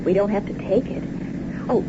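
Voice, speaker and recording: A woman's voice: medium pitch, normal speed, lightly acted. A woman playing an uneasy, observant young wife in an old radio drama. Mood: uneasy, searching. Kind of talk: 1970s radio drama acting. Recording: tinny, very noisy old radio broadcast.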